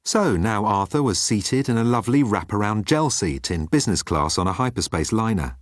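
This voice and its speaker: A man with a low voice narrates a comic science fiction novel. The reading is scripted storytelling in a close, dry recording with no room sound. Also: animated, normal speed, dry recording. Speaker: audiobook narrator.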